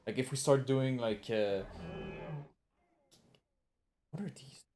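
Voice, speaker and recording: A man with a Quebec French accent, a native French speaker, chatting casually on a livestream in a halting way as he searches for words. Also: medium pitch, background noise. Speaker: game server developer and livestreamer. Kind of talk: casual livestream chat while gaming.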